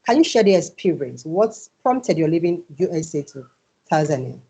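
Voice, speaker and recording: Female interviewer, dark husky voice, halting questions, dull lo-fi recording. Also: low-pitched, big pitch swings. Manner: hesitant, repeats words while asking. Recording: dull lo-fi sound.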